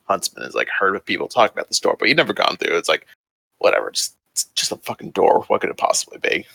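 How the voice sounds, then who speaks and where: A man's voice: low voice, big pitch swings, quick pace, vocal fry, pushed and bright, husky, dry recording. A man running a tabletop game as game master, explaining the world in a loose, filler-heavy way with casual swearing.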